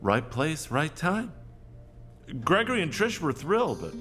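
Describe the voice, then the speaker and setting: An actor playing a neurotic, self-dramatising middle-aged boss in a comedic audio drama, rambling and theatrical. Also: male voice, fairly high pitch, big pitch swings, a little slow, a bit bright, soft background music. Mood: sheepish, rambling.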